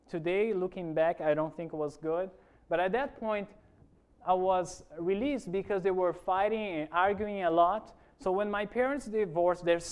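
Brazilian-accented pastor preaching with a high voice. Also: male voice, smooth. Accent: Brazilian Portuguese. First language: Portuguese. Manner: earnest, addresses congregation with frequent "amen?". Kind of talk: sermon testimony. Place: church.

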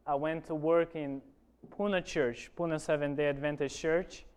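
Pastor, male, Brazilian accent, earnest preaching to a congregation; high and smooth. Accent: Brazilian Portuguese. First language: Portuguese. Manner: earnest, addresses congregation with frequent "amen?". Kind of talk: sermon testimony. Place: church.

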